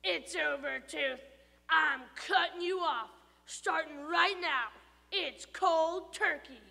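A woman's voice: very high-pitched, slow, creaky, sharp tone, big-room sound, pitched above the performer's own voice, full character voice. A woman voicing an earnest young boy trying to quit sweets in a storybook. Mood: determined. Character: young boy fighting his sweet tooth.

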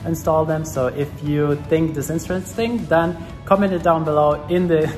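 A man's voice: fairly high pitch, steady tone, normal speed, darkish tone, faint music behind. A man in his twenties with a German accent, talking casually and explaining things to the camera as a vlogger. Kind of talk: casual vlog talk to camera. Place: villa rooms, a furniture shop, roadside. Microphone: camera at arm's length.

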